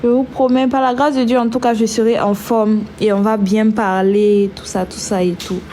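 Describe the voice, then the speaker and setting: A woman's voice: fairly high pitch, little pitch movement, moderate pace, dark tone, slight background noise. A woman hosting a casual live stream who has a cold and talks in a chatty way, trailing off and restarting. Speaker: livestream host.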